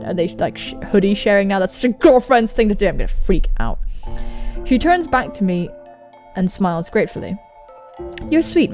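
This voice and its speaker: Animated high-voiced young woman gamer. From England. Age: young adult. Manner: animated, jokey, swears freely. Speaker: gaming video host. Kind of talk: let's play commentary and dramatic reading of on-screen dialogue. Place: indoor room. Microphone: large microphone close to the mouth.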